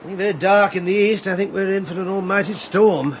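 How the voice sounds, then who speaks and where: An actor playing a grave, commanding middle-aged British gentleman adventurer, narrating a radio drama in measured, literary fashion. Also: high-pitched, slight background noise, natural voice. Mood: watchful.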